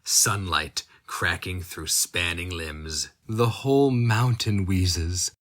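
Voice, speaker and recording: Slow, brooding man reading dark fantasy. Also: sits low. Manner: slow, brooding, intense delivery. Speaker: narrator.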